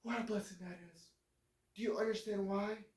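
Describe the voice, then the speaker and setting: A man's voice: sits high, fairly even intonation, normal speed, distant mic, voice pushed up, natural voice. A man reading a story, putting on a raised voice for a frail, tender sick older woman who speaks in a wondering tone. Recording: roomy echo, voice off-mic.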